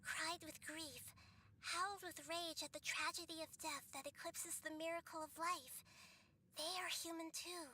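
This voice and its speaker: A woman voicing a gentle, wise girl-like goddess in a game, soft and measured, speaking in calm philosophical questions. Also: very high-pitched. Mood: gentle probing. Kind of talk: video game cutscene voice acting.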